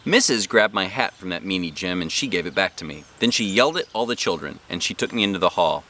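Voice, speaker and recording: A man hosting a read-aloud, narrating a children's storybook in an animated style. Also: sits low, quick pace, a little hiss or hum.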